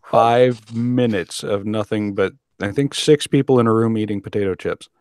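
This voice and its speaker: A man's voice: lowish voice, normal speed, a little mellow, clear, resonant tone. A man hosting a podcast in a casual, drily humorous and wordy way. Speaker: guest host.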